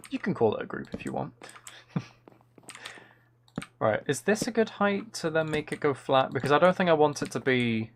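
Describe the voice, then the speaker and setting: Gravelly man musing on a live stream. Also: mid-range voice, a little hiss or hum. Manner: musing, thinking aloud. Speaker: live streamer playing a building game. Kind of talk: casual live stream chat while playing.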